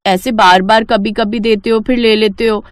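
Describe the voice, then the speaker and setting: A woman's voice: a little high, fairly even intonation, moderate pace, smooth, no echo, clean studio sound, close to an everyday voice. Woman playing a pushy, greedy daughter-in-law. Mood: complaining. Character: greedy, pushy elder daughters-in-law, grown women with children. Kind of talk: animated moral story dialogue.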